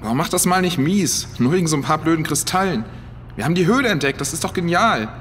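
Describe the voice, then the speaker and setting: A man's voice: a little high, expressive, fast talker, fairly bright tone. Cocky teenage boy played by a male actor, long reverb. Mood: scornful. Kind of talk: children's audio drama acting. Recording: long cave-like reverb, faint noise.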